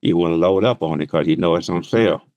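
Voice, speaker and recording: A man's voice: deep voice, fast talker, darkish tone, no echo, dull audio. A man teaching trading, explaining plainly with everyday examples on a remote call. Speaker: trading instructor. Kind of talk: casual interview answers.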